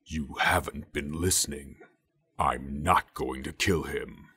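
A masked supervillain with an extremely low voice. It is rough and murky, altered by electronic processing. He speaks slowly and deliberately, cold and full of threat. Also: fairly even intonation, pushed and bright, full character voice. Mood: cold menace. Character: menacing masked male villain, cold and threatening. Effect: pitch-lowered voice, some with distortion or chorus. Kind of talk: TV supervillain impression.

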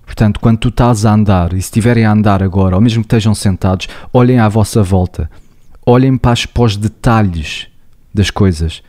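A young man with a European Portuguese accent co-hosts a casual podcast. He explains ideas earnestly in a low, warm voice and talks straight to the listeners. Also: fairly quick. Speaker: podcast co-host, psychologist.

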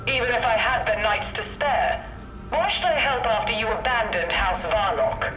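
An actress playing a haughty noble commander of a knight house in a video game, cold and disdainful. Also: female voice, a little high, expressive, normal speed, roomy sound, dull audio, soft background music, natural voice. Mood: scornful. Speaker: game character. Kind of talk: dramatic video game cutscene acting.

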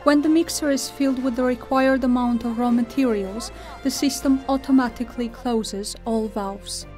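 Female corporate presenter: higher-pitched, formal and deliberate, scripted lines over a light music bed. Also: steady tone, darkish tone.

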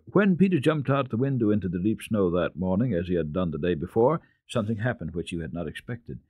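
A man with a fairly low voice reads a children's story for radio. His delivery is dramatic and lively, rising and falling widely, with deliberate phrasing. The sound is dry and close. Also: slightly rough, no echo, phone-quality sound. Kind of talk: dramatic reading of classic children's literature.

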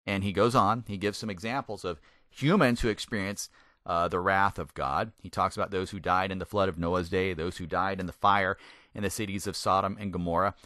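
A man, a native English speaker, gives a conversational Bible teaching, putting questions to the listener and stressing words deliberately. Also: low-pitched, steady tone, pushed and bright, no room sound, clean studio sound. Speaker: Bible teacher, former pastor. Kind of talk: conversational sermon, Bible teaching with scripture reading.

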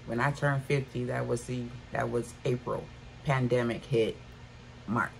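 Chatty woman in her fifties, gravelly. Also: rumbling low, fairly even intonation, a little slow, a little hiss or hum. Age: 50s. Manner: chatty, addresses viewers as y'all. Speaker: haul vlogger. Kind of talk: casual haul chat. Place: bedroom at home.

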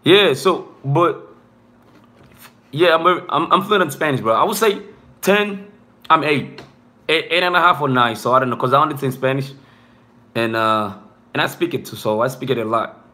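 Young man, non-native English speaker, bright forceful voice, loose rambling live-stream chat, small indoor room, close device mic. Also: mid-range voice, expressive, moderate pace, pauses often. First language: not English. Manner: loose, rambling, peppered with "bro" and "you know what I'm saying".

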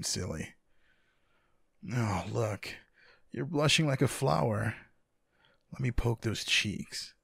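A man playing a tender, teasing, sleepy lover in an intimate boyfriend ASMR roleplay, speaking softly and affectionately in a husky, gravelly voice. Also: fairly low pitch, no echo, studio quality, heavily acted. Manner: soft, affectionate, unhurried. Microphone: close microphone, dry.

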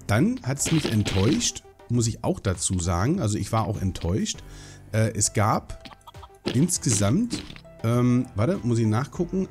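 A man's voice: mid-range voice, dark tone. A young man with a cold, chatting casually and rambling as he hosts a Minecraft let's play, swearing now and then. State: hoarse from a cold. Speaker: gaming channel host.

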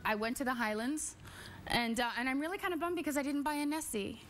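A woman's voice: sits high, quick pace, a little hiss or hum. A woman in her forties, an actress guest on a late-night show, telling stories in a bubbly, laughing way. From Canada. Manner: bubbly, laughing, animated storytelling. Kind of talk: casual chat. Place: TV studio with audience.

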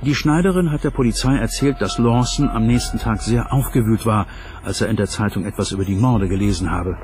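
A male detective on a cold case, voiced by a man for a dubbed documentary. The voice sits low, with clear music underneath. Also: a little fast, natural voice. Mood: matter-of-fact. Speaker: voice-over for a detective.

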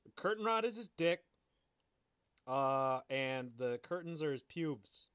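A man's voice: fairly high pitch, animated, slow, a bit bright, clear, resonant tone. A man co-hosting a podcast with casual comic commentary, crude and mock-dramatic in his joking. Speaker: podcast co-host.

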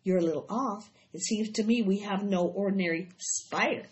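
A woman's voice: mid-range voice, moderate pace, darkish tone, lo-fi sound, natural voice. A woman voicing a bewildered, no-nonsense farm wife in a storybook, incredulous yet matter-of-fact. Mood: sceptical.

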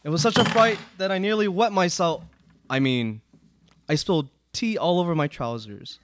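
Pompous timid aristocrat, played by a young man, higher male voice, formal, live radio drama. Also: normal speed, slight background noise, close to an everyday voice. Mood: pompous, flustered. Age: young adult. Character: prim, easily frightened, wordy aristocrat gentleman. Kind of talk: student live radio play reading. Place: small indoor studio. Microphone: close stand microphone.

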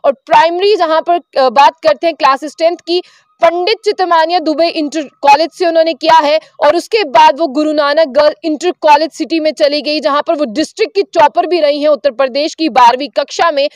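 A woman's voice: sits high, fairly quick, no echo. A young woman reporter, speaking to camera outdoors with a handheld mic, reading notes and correcting herself as she goes. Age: young adult. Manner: reads from phone, fluent with fillers and self-corrections. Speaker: news reporter. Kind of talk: piece to camera news report. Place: outdoors under a tree.

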